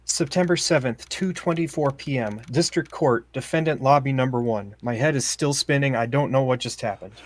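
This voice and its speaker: A man's voice: mid-range voice, normal speed, few pauses. Joking man hosting a game playthrough. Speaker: channel host. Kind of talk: casual gameplay chat and on-screen text reading.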